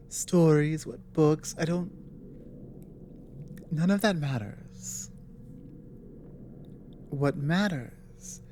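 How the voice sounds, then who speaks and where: Woman acting as female dragon, war-weary, grave, earnest, halting, unhurried, soft dark tone, ASMR roleplay. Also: low-pitched, slight background noise. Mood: urgent, earnest. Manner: halting, earnest, dramatic pauses. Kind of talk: ASMR roleplay audio drama.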